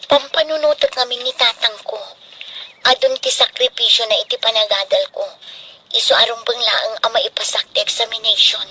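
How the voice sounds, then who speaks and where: A bright-voiced, earnest young daughter. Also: female voice, on the high side, steady tone, normal speed, breathy edge, poor audio. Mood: worried, earnest. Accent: Filipino Ilocano. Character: dutiful, anxious young daughter, a student teacher. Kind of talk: Ilocano radio drama acting.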